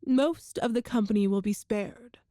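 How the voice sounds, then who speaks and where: A woman playing a cruel, seductive evil empress in an ASMR roleplay drama, speaking with imperious, deliberate menace. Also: a little high, dark tone, no echo, studio quality, natural voice. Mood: cold command.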